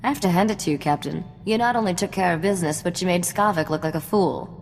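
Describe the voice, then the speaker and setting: A woman's voice: fairly low pitch, quick pace, in a large hall, a little hiss or hum, natural voice. An actress playing a shrewd, self-assured woman mechanic among space smugglers, with a dry, sardonic manner, in a video game. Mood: wry. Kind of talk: video game cutscene acting.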